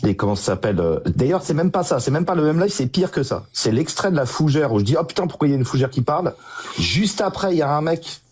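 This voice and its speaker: Fast, husky-voiced man arguing. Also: on the high side, very animated, a little mellow. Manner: fast and combative, cuts in often. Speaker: debate participant. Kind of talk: heated online debate.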